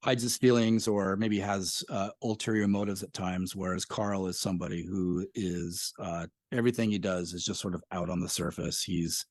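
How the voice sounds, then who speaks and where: A middle-aged man, a podcast creator who talks about his work in a thoughtful, measured way. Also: sits low, steady tone, dry recording, studio quality. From Spokane Valley, Washington. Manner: thoughtful, measured, some hesitations. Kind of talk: casual interview chat.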